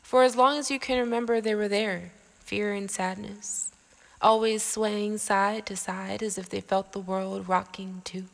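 A woman narrating a poetic audio drama as a scripted piece, with dramatic, deliberate phrasing and pauses. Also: medium pitch, steady tone, vocal fry. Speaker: poet and narrator.

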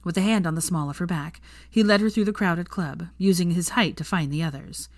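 Low-voiced woman reading a story. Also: fairly quick, no room sound. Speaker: fan fiction reader. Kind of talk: scripted story narration.

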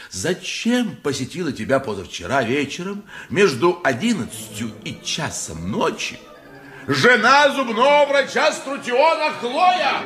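An actor playing an impassioned, indignant lawyer in an ancient court, declaiming pompously in a theatrical radio play style. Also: male voice, high-pitched, bright, forceful tone, full character voice. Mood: accusing, theatrical.

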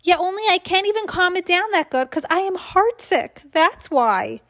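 Woman voicing a high-pitched, dramatic little schoolgirl. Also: lively intonation, normal speed, fairly bright tone. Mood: upset, dramatic. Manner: childish grammar, emphatic, indignant outbursts. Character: excitable, dramatic little schoolgirl telling her own story. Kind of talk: children's storybook read aloud.

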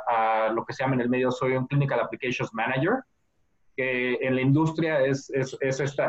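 A man from Mexico City with a Mexican accent, a guest telling his life story in a relaxed interview, full of filler words. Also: mid-range voice. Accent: Mexican Spanish. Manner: many fillers like "este" and "¿no?". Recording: dull lo-fi audio.

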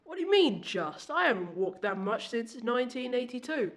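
A woman's voice: a little high, big pitch swings, normal speed. A woman playing a feisty, grumbling elderly retirement home resident in an audio drama. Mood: indignant.

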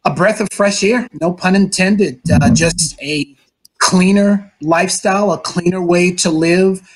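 A man who works as a sports broadcaster, answering interview questions at length in an earnest, talkative way with some filler pauses. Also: high voice, low-quality recording. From New York City, Brooklyn.

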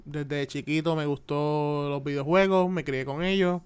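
A young man in his twenties talks with a Puerto Rican Spanish accent. His voice is very smooth and resonant and sits a little high, and he chats loosely on his own podcast, filling pauses with "este" and "pues". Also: steady tone. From Puerto Rico. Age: 20s. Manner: chatty, with frequent "este" and "pues" fillers. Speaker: podcast host. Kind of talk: casual solo podcast monologue.

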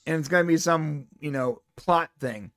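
Man, casual podcast reviewer, lively and opinionated, slightly high voice, dry close mic. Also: moderate pace, dry recording. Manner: animated, opinionated, exasperated asides. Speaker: podcast co-host.

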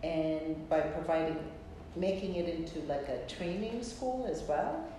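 A middle-aged woman being interviewed as a guest, explaining thoughtfully and haltingly in a conversational way. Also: low-pitched, mellow. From Greece. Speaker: interview guest, hospitality entrepreneur. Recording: echoey room, distant mic, faint noise.

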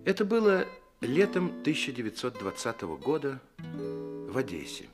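An actor playing a modest, good-natured doctor who tells stories in a radio drama, in an unhurried manner. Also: male voice, medium pitch, huge pitch swings, over music. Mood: modest, reflective. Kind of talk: Russian radio drama acting and storytelling.

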